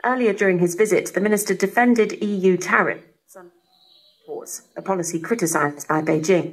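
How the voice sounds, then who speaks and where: A woman's voice: mid-range voice, breathy edge, low-quality recording. A woman reading a scripted news bulletin as a radio newsreader, received over shortwave. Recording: shortwave radio broadcast reception.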